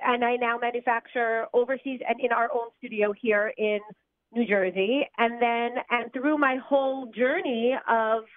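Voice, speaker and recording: A woman's voice: a little high, dry recording. A woman calling in as a guest on a radio show, friendly and hesitant with many fillers, heard over a phone line. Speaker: guest, business owner.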